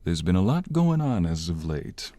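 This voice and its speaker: A man playing a devoted young husband and flustered expectant father in an audio roleplay, speaking haltingly. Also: sits low, very animated, mellow, no echo. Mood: polite.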